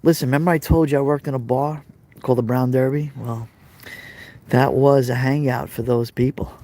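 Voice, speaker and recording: Mellow-voiced Brooklyn man chatting live. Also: medium pitch, steady tone, normal speed. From Brooklyn, New York. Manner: chatty, repeats phrases, talks straight to viewers. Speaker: live stream host. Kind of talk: casual live stream chat reading comments.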